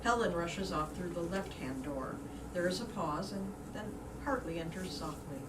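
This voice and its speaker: A woman's voice: lowish voice, animated, moderate pace. A woman reading stage directions as a scripted narrator for reader's theater. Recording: roomy, distant mic, clearly noisy.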